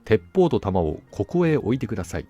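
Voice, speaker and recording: A man's voice: fairly low pitch, sing-song, brisk, mellow, breathy edge, soft background music. A man voicing the polite, insistent notices of a mysterious restaurant in a storybook. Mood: polite, instructing. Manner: courteous, instructing. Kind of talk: storybook reading.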